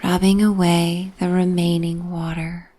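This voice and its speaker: A woman narrating a bedtime sleep story in a soft, breathy, half-whispered, soothing ASMR manner. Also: lowish voice, flat delivery, measured pace.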